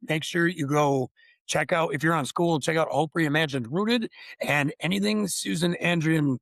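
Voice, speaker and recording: A man's voice: fairly high pitch, moderate pace, a bit bright, dry recording. A man from Michigan, hosting a podcast in an enthusiastic, effusive, rambling way on a remote call. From Upper Peninsula of Michigan, USA. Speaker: podcast host. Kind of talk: casual interview chat.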